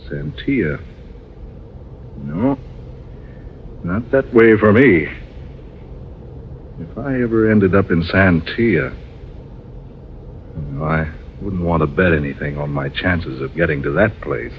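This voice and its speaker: An actor playing a grumbling, gruff desert pilot for hire in a 1940s style radio drama, often muttering to himself. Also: male voice, low-pitched, exaggerated voice. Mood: pondering, uneasy. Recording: scratchy, muffled old radio recording.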